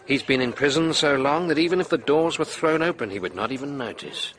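A man's voice: mid-range voice, brisk, fairly bright tone, close to an everyday voice, poor audio. An actor playing a worldly, observant man in a radio drama, wry and assured in manner.

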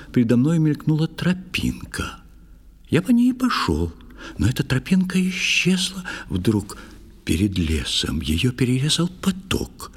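An actor playing a pious, excitable old priest recounting in verse in an audio play. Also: male voice, medium pitch, vocal fry, husky, exaggerated voice.

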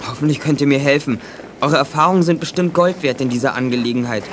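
A man's voice: medium pitch, fast, a little hiss or hum, lightly acted. A young man playing an earnest schoolboy in an audio drama, eager and anxious. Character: earnest worried schoolboy seeking help for his grandmother. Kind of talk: German youth audio drama acting.

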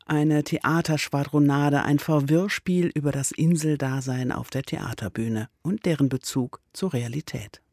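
A man's voice: a little high, unhurried, few pauses, a little mellow, dry recording. A man presenting a radio play with a scripted introduction, speaking close to a studio microphone.

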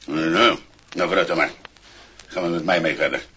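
A man's voice: low-pitched, normal speed, sharp tone. An actor playing a bossy, pompous Dutch village policeman with a barking delivery in a 1960s radio play. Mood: pompous, instructing. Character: blustering, bossy male village policeman with a sabre. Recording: dull, faintly noisy old vinyl record.

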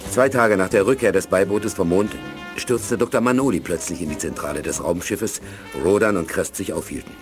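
A man acting as the serious, measured narrator of a scripted 1980s science fiction radio play. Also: low voice, over music, natural voice. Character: measured, serious storyteller of a space saga.

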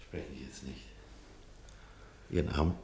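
A doctor in a radio drama, low voice, firm and serious, really insistent. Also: male voice, a little slow, a little mellow, slight background noise. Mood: firm, urgent. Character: male doctor, urgent, authoritative, reasoning and imploring. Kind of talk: German radio play acting with first-person narration.

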